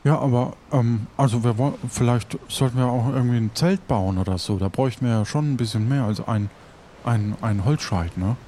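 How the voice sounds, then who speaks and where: A man's voice: mid-range voice, a little fast, mellow, natural voice. Man playing a stammering, unsure pirate. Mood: unsure, curious. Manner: stammering, hesitant. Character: hesitant, unsure male pirate. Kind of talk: improvised audio play. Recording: noticeable background noise.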